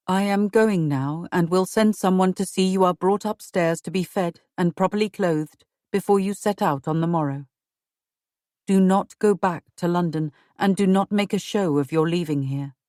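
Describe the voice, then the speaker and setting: A woman voicing a stern middle-aged Tudor queen who speaks in a measured, commanding way. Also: lowish voice, a little mellow, no echo, clean studio sound, close to an everyday voice. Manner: commanding, measured royal speech.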